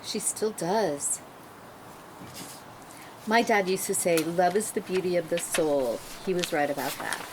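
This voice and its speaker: A woman's voice: fairly low pitch, unhurried, long pauses, close to an everyday voice. A woman playing a kind, reassuring male orderly in a screenplay table read, recorded in a noisy room.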